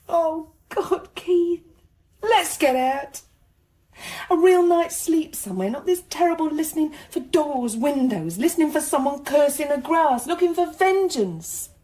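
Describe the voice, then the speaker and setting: Weary, anxious young woman, high-voiced. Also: expressive, a little slow, mellow. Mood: pleading, exasperated. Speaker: actor in a radio drama. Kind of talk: naturalistic radio drama dialogue.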